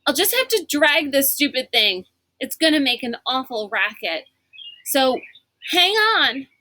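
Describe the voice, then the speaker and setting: Bossy young adventure girl played by a woman reader, high, bright and breathless, urgent storybook delivery. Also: very animated, measured pace, no echo, full character voice. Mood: determined. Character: brisk, exasperated, athletic young girl heroine.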